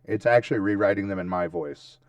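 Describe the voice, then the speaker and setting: A man with a lowish, gravelly voice walks viewers through a tutorial in an informal, step-by-step way. He checks in often as he goes. The sound is dry, with the voice sitting a little away from the mic. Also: animated, fairly quick, dry recording, far from the mic, highs cut off. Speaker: tutorial host. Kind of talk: casual screen-share tutorial, narrating steps as he goes.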